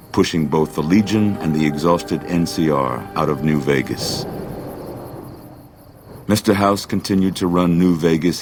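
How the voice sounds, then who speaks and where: Very deep, solemn narrator. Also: male voice. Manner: solemn, deliberate. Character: grave, solemn male storyteller of wasteland epilogue. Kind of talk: scripted game narration.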